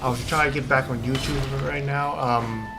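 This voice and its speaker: A man giving casual game livestream commentary, talking haltingly and often correcting himself. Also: medium pitch, fairly even intonation, noisy background. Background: game music.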